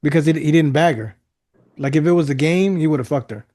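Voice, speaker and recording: A man's voice: a little high, brisk, dark tone. A man co-hosting a podcast in casual, slangy group chat. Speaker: podcast co-host.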